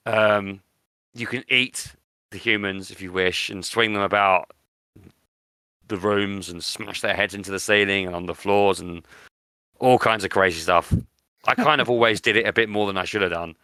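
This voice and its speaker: A man's voice: fairly low pitch, bright, forceful tone, no room sound. A British man chatting casually as a podcast host, enthusiastic and often repeating words for emphasis. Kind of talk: casual chat.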